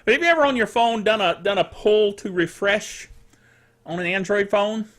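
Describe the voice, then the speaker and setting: An older man hosting a casual solo tech show, rambling playfully like a self-styled curmudgeon, stretching words for emphasis and tossing in spoken sound effects. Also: high-pitched. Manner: animated, emphatic delivery with drawn-out words. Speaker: podcast host. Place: small home studio.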